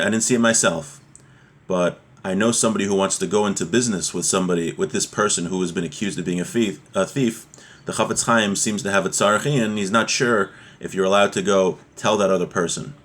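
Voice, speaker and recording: A man's voice: deep voice, fairly bright tone. A man teaching an informal class on religious law, explaining a text step by step with everyday examples.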